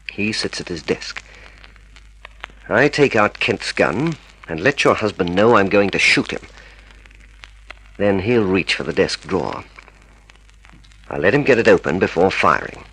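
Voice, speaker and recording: A man's voice: fairly low pitch, a bit bright, slightly rough, full character voice, low-quality recording. A man acting in a radio drama as a cold, scheming murderer, methodical and commanding. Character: cold, calculating murderous male dentist, secret lover, scheming.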